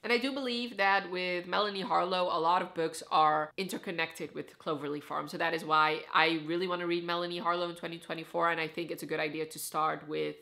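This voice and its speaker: A young Dutch woman talks straight to camera from her living room, chatty and eager. Her voice has a bright, forward edge and sits a little low for a woman. She fills her talk with "like" as she goes. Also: steady tone, a little fast, creaky, few pauses. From Netherlands. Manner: chatty, enthusiastic, says "like" often. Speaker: book vlogger. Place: living room with full bookshelves, indoors.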